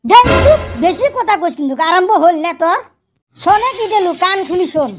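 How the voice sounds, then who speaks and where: Very squeaky cheeky cartoon lad. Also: male voice, animated, brisk. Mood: teasing banter. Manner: teasing, argumentative banter. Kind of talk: comic cartoon dialogue. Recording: loud music under the voice. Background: loud music.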